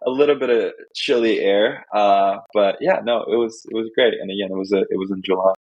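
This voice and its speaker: A man's voice: on the low side, steady tone, brisk, a little mellow, no room sound, muffled recording. A man from Estonia whose first language is Russian, a podcast guest chatting casually with many fillers and restarts. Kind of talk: casual interview chat.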